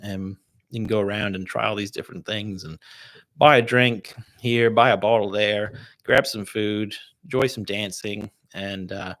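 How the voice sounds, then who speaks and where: A Canadian man with a North American accent, hosting a casual livestream and answering viewer questions in a relaxed, rambling way. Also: on the low side, moderate pace. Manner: relaxed and rambling, with many fillers and restarts. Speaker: distiller hosting a livestream tasting.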